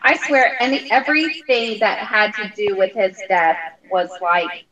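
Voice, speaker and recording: A woman's voice: medium pitch, a little slow, a bit bright, rough recording. A woman in a book club chatting casually in an emphatic, informal way. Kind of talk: casual chat.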